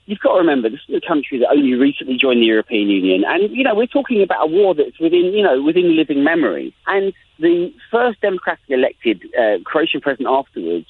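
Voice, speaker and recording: An English-accented man speaks over a thin, tinny phone line with a faint hiss behind him. His voice sits a little high and swings widely in pitch as he talks. He thinks aloud, restarting phrases often. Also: fast talker, hardly any pauses. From England. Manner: animated, thinks aloud with frequent restarts and fillers. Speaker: journalist guest. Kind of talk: expert commentary by phone.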